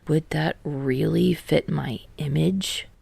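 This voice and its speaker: A woman voicing a dry, aloof, sardonic teenage boy in an audiobook, with an unbothered deadpan delivery. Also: low voice, takes it slowly, vocal fry, breathy edge, no echo, heavily acted. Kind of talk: audiobook character voice.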